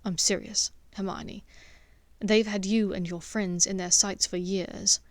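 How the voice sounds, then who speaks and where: A woman voicing an intense, sharp-tongued teenage boy wizard in an audiobook, urgent and commanding. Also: medium pitch, fairly even intonation, unhurried, no echo, natural voice.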